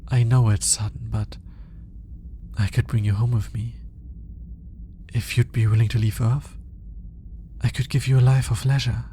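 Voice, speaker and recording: A man playing an earnest, smitten alien scientist in an intimate roleplay audio drama, soft-spoken and hesitant, slipping into whispers. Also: mid-range voice, little pitch movement, normal speed, pauses often, a little hiss or hum, lightly acted. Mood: curious, earnest.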